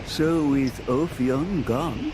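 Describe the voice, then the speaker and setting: A man's voice: fairly high pitch, drawn-out words, background noise. An actor playing a solemn, weary old man in a video game cutscene.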